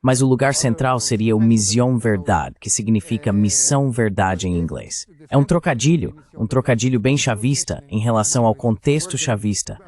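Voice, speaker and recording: A man's voice: fairly low pitch, moderate pace, few pauses, darkish tone. A man with a Brazilian accent speaking as an interview guest, giving political analysis in a translated voice-over.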